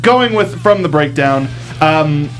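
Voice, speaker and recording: A man's voice: on the high side, expressive, slow, low-quality recording. A man hosting a casual panel talk show in a jokey, self-correcting manner. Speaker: host. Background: music.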